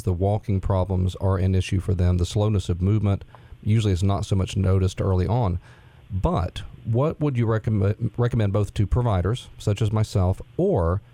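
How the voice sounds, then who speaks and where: A man co-hosting a health talk, posing methodical questions as a provider. His voice sits low and sounds mellow and warm. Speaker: medical provider co-host. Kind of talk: interview questions.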